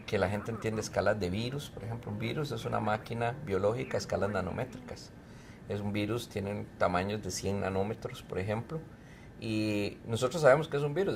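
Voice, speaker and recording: A middle-aged man, a professor and researcher from Mexico who grew up in Costa Rica, answering interview questions in a relaxed, explanatory way with frequent fillers. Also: lowish voice, gravelly, a little hiss or hum. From Mexico, raised in Costa Rica. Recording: faint music behind.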